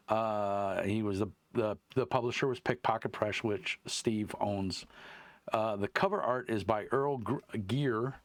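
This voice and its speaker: A man with a deep voice hosting his tabletop game review channel, rambling casually with lots of fillers and restarts. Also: dry recording. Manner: meandering, many fillers and restarts. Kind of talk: casual rambling chat to camera. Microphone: wireless clip-on mic.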